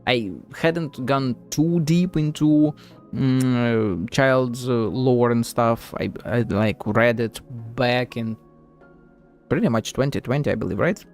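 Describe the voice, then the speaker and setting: A man's voice: medium pitch, slow, a little mellow, faint music behind. A man streaming a game with casual, slangy, laid-back live commentary.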